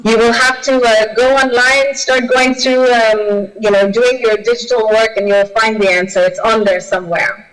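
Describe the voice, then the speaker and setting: A woman's voice: a little high, steady tone, normal speed, hardly any pauses, low-quality recording. A woman from Kenya, a guest speaker on a video call, answering audience questions with many fillers and restarts. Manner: hesitant, with frequent fillers and restarts.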